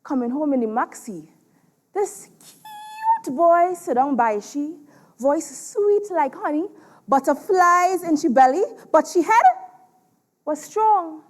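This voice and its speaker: High, theatrical Trinidadian woman performing poetry. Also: big pitch swings. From Trinidad and Tobago. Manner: theatrical, emphatic, deliberate pauses. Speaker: spoken word poetry contestant. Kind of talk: dramatic spoken word poetry performance. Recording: live stage performance.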